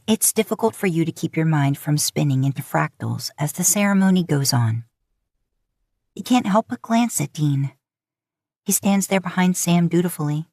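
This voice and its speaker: A woman's voice: sits low, darkish tone, dry recording, studio quality. A woman reading scripted fiction as an audiobook narrator.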